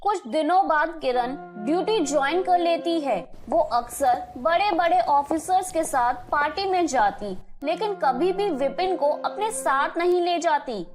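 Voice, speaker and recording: Casting: female storyteller, high-pitched voice, scripted moral-tale narration. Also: expressive, measured pace, hardly any pauses, soft background music.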